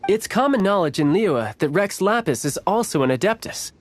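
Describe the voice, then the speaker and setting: A man playing a suave, slyly friendly young foreign agent in a video game, with a smooth, teasing, theatrical manner. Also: high voice, very animated, moderate pace, a little hiss or hum. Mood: confiding. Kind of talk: video game cutscene acting.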